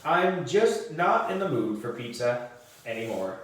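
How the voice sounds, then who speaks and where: A man's voice: medium pitch, sing-song, unhurried, fairly bright tone. A man voicing an earnest, anxious schoolboy in a children's storybook, with a pleading manner. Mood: dejected. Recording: distant mic in echoey room.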